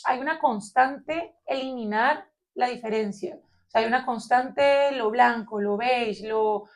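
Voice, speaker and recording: Animated Colombian woman thinking aloud. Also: a little high, a little slow. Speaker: podcast co-host. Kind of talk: philosophical round-table discussion.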